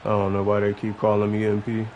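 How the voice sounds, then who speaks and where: A man's voice: lowish voice, monotone, fast, highs cut off. A man streaming a video game with casual, blunt, informal muttering. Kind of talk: casual gameplay chat. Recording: noisy, game audio in background. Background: basketball game sounds and ambience.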